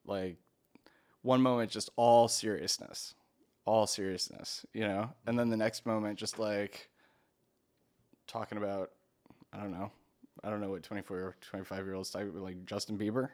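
Low-voiced man in casual chat. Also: little pitch movement, normal speed, long pauses, a little mellow. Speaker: conversation partner asking questions.

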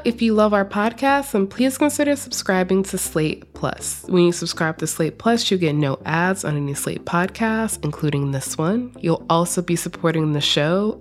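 A woman hosting a podcast in a conversational style, addressing her guest and listeners. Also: lowish voice. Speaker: podcast host.

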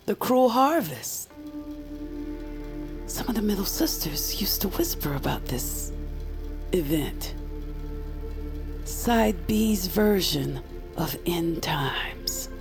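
Weary woman mystic, lowish gravelly voice, grave, whispery, unhurried, ominous audio fiction, faint music behind. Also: big pitch swings, exaggerated voice. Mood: ominous, hushed. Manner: hushed, grave, deliberate, drifting into whispers.

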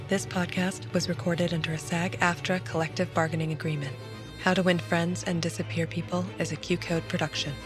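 A woman reading scripted credits as an announcer, in a measured, formal way. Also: lowish voice. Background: music.

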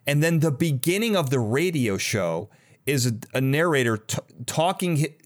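A man's voice: on the high side, lively intonation, normal speed. A man hosting a podcast, talking casually and with enthusiasm in an interview conversation. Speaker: podcast host.

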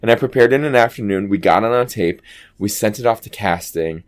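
Deep-voiced, chatty man. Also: a little fast. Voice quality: low voice. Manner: animated, chatty, acts out quoted dialogue. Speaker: Broadway actor guest. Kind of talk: casual interview storytelling.

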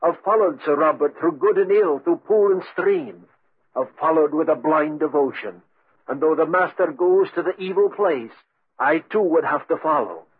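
An actor playing a devoted, morbid old manservant in a 1940s radio drama, with a husky voice. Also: male voice, a little high, normal speed. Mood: grave, foreboding. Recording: muffled 1940s radio recording.

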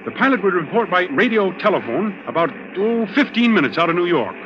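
Animated, high-pitched young navy commander. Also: male voice, brisk, noisy background. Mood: firm. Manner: clipped, authoritative. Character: take-charge younger navy commander, urgent and decisive. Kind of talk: 1940s radio acting. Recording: muffled old radio broadcast with loud backing sound.

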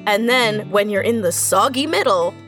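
A woman's voice: medium pitch, normal speed, a bit bright. Woman on a writers panel, earnest n encouraging, repeats stuff for emphasis, bit of music behind. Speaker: audio fiction writer on a panel. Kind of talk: reflective panel conversation.

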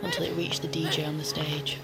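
An actress playing a solemn storyteller, narrating an audio drama in a measured, poetic way. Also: female voice, low voice, level tone, low-quality recording. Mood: grave. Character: grave, poetic storyteller narrating a dark adventure. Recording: loud music behind the narration.

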